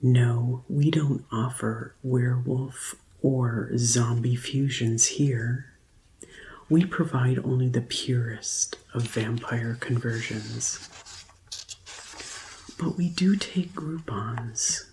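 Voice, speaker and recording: A woman speaks softly in an ASMR roleplay, often slipping into a whisper. Her voice is very low for a woman and she takes her time with each phrase, in a gentle customer-service manner. A faint hiss sits under the recording. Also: rough recording. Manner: slow, gentle, deliberate customer-service delivery. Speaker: ASMR performer playing a vampire conversion receptionist.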